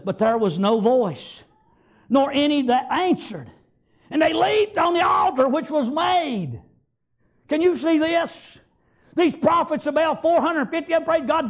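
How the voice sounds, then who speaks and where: High-pitched Southern US preacher man. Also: normal speed. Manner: folksy, exclamatory, repeats praise God and amen as punctuation. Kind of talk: fervent extemporaneous sermon with Bible reading and retelling.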